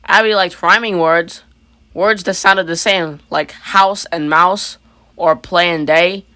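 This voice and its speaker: Bright-voiced woman reading a storybook. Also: fairly low pitch, fairly even intonation, slow, dry recording. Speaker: storybook reader. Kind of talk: scripted children's story narration.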